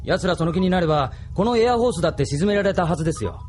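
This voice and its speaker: A man's voice: high voice, lively intonation, racing, a little mellow, soft background music. An actor playing an earnest, worried young male bridge crewman in an anime audio drama, questioning his superior. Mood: puzzled, earnest.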